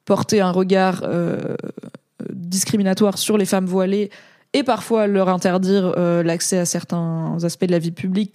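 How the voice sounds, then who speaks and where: A woman's voice: medium pitch, warm tone, no room sound, clean studio sound. A woman from France giving casual, slangy commentary as a streamer reading chat, talking in a rambling way with frequent hesitations.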